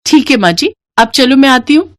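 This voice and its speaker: Woman as a high, wheedling sly young wife. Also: expressive, a little fast. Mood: falsely sweet. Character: sly, lazy young married twin sister. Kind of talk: acted dialogue in a Hindi moral story.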